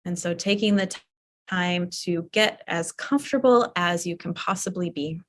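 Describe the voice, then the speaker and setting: A woman teaching a yoga nidra class, talking softly and soothingly with long pauses as she guides a guided relaxation. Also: fairly low pitch. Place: live online or studio class.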